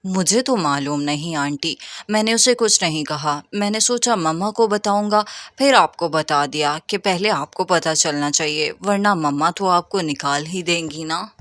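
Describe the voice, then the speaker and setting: A woman's voice: on the low side, moderate pace, few pauses, a bit bright, no room sound, voice pushed down, natural voice. Woman doing a rich schoolboy, cartoon, sly, spiteful, falsely innocent, tattling, dry sound. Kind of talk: cartoon story voice acting.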